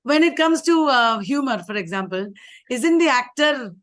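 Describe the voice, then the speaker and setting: A woman hosting an interview, asking measured, probing questions. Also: sits high, animated, a bit bright. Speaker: interviewer host.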